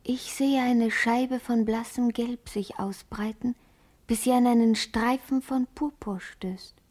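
Radio drama role: observant female dreamer, soft dark timbre, slightly high. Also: steady tone, takes it slowly. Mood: wondering. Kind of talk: poetic radio play.

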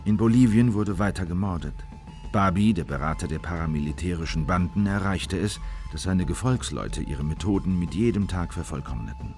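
An actor playing a sombre conspirator recalling events as a deliberate narrator in a radio documentary drama. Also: male voice, low voice, expressive, darkish tone, faint music behind. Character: male memoir narrator, sombre conspirator recalling a failed plan.

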